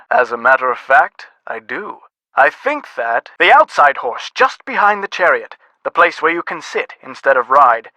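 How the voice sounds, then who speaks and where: Bright, animated scholarly man. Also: a little high, no room sound, exaggerated voice, low-quality recording. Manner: erudite, wordy, precise. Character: scholarly college professor, male carousel expert, eager and courteous. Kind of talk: video game voice acting.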